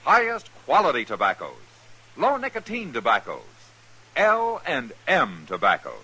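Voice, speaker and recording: A man's voice: medium pitch, huge pitch swings, normal speed, pushed and bright, breathy edge, low-quality recording. A man announcing a commercial in 1950s radio advertising style.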